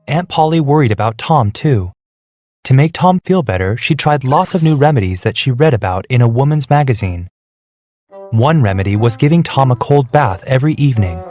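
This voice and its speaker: A man's voice: mid-range voice, darkish tone. Male storybook narrator over music. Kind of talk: scripted children's story narration.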